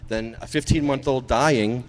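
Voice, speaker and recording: A man's voice: medium pitch, measured pace. A man co-hosting a live internet radio show, teasing and mock-scolding his co-hosts in loose banter.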